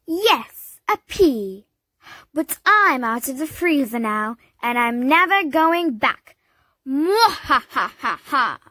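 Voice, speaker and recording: A woman's voice: high voice, sing-song, slow pace, sharp tone, no echo, exaggerated voice. A woman voicing a boastful male pea villain in a children's storybook, gloating and shrieking.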